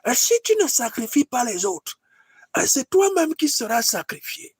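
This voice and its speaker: Casting: aged dictator mentor, mocking and scolding in a very high voice, satirical skit, close dry sound. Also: male voice, big pitch swings, normal speed, husky, no echo, heavily acted. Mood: imperious, scolding. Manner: exclaiming, scolding, repeats himself. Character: old dictator grand master, weary, imperious, mocking mentor.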